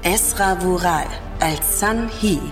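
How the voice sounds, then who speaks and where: A woman's voice: fairly low pitch, slow, over music. A woman reading scripted podcast credits as an announcer.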